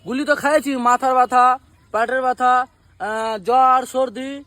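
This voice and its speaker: A man's voice: extremely high pitch, steady tone, normal speed, a bit bright, a little hiss or hum. A man voicing comic, dim-witted village characters in a cartoon skit. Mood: comic earnest. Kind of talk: cartoon comedy skit dialogue.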